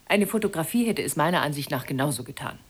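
Genteel wife and mother, radio drama; slightly low, very varied melody, soothing yet easily exasperated. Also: animated, fast talker, slightly rough. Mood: tense, curt. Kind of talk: German radio drama acting.

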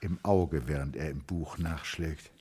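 Deep-voiced male radio play narrator. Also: unhurried, mellow, slight background noise.

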